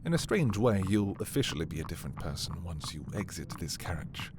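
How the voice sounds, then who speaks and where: A man playing a possessive, commanding prince in a dark fantasy ASMR roleplay, deliberate and imperious yet intimate. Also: low voice, sing-song, noisy background. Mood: tender, possessive. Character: possessive, commanding young prince, darkly romantic schemer. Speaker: audio roleplay performer.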